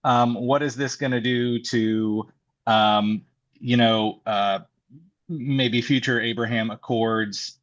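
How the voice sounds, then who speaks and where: Male co-host, mid-pitched, halting and filler-heavy, muffled lo-fi recording. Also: steady tone. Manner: hesitant, many restarts and fillers. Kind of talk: political discussion. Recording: dull lo-fi sound.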